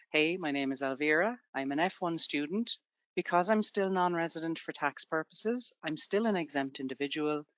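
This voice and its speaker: A woman's voice: low-pitched, no room sound, dull audio. A woman from Ireland with an Irish accent, presenting a tax webinar to students in a conversational way with everyday examples.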